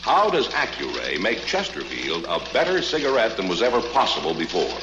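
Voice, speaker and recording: Emphatic 1950s pitchman, male, very animated intonation, echoing old broadcast sound. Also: a little fast, dull audio, background noise. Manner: emphatic, polished pitchman delivery. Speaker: commercial announcer. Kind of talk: 1950s radio advertising. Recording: echoey old radio ad with music.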